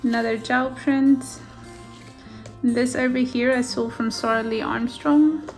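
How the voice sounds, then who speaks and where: A gentle, softly enthusiastic woman whose first language is Afrikaans, talking through her handmade journal at an easy pace. Her voice sits a little high. Faint music plays quietly behind her. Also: steady tone, a little slow. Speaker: junk journal maker. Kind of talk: casual flip-through narration. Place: indoors at a craft table.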